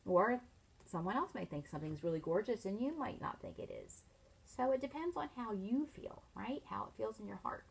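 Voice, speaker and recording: A middle-aged woman, a teacher reading a picture book aloud to children, expressively and with pauses to ask them leading questions. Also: medium pitch, animated, creaky, slightly rough. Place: small furnished room at home, indoors.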